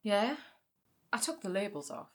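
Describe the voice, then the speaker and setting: A woman's voice: medium pitch, expressive, normal speed, natural voice. An actress playing a practical, self-controlled grieving sister and mother in a naturalistic audio drama. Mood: dry, careful. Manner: understated, dry humour. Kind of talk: naturalistic audio drama acting, comedy and pathos.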